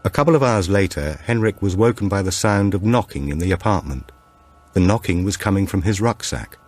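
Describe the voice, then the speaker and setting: A male audiobook narrator with a notably deep voice. He reads scripted suspense fiction as a storyteller. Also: lively intonation, brisk.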